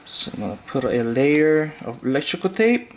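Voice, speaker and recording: A man hosting a fishing tutorial, calmly talking through each step with occasional fillers as he ties knots. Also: on the high side. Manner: slow, relaxed instructional talk with fillers. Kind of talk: step-by-step demonstration voice-over.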